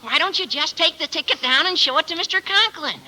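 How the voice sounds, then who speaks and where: An actor playing an eager, chipper teenage schoolboy with a high cracking voice and flowery, excitable delivery in a 1950s radio comedy. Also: male voice, brisk, exaggerated voice, rough recording. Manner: excitable, exaggerated. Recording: old radio broadcast recording.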